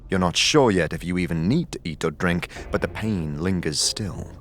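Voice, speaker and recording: A man's voice: low-pitched, moderate pace, a little hiss or hum. A man reading scripted dramatic narration for an audio story. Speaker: narrator and game master. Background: clear music.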